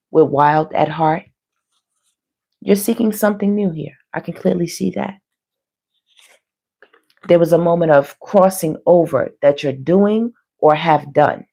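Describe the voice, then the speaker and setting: Low-pitched, hoarse woman reading tarot. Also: warm tone. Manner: colloquial, frequently checking in with "you know" and "okay". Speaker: tarot reader hosting a live online reading. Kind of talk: casual, conversational tarot reading to viewers.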